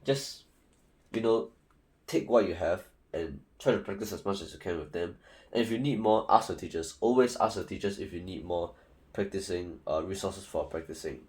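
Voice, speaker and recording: A man's voice: low voice, moderate pace, a little mellow. A young man from Singapore with a Singaporean English accent, giving casual, rambling study advice full of fillers and restarts. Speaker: student tutor.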